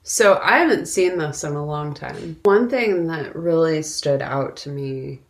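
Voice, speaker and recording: A woman co-hosting a podcast, talking casually about films and pausing deliberately mid-sentence. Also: low-pitched, lively intonation. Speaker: podcast co-host. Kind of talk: casual film discussion.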